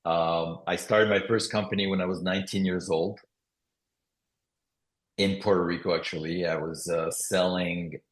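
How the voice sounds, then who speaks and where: Deep-voiced man with a mixed accent, roomy sound. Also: normal speed. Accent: mixed foreign. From Morocco and Canada. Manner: relaxed, reflective storytelling. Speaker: guest entrepreneur. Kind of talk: interview conversation.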